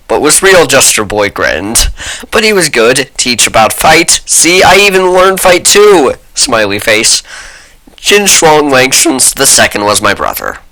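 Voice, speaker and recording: Boastful male internet fighter, read by a man, bright voice, slow emphatic broken phrasing, comic blog reading. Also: mid-range voice, poor audio. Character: boastful male fight-obsessed blogger with mangled grammar.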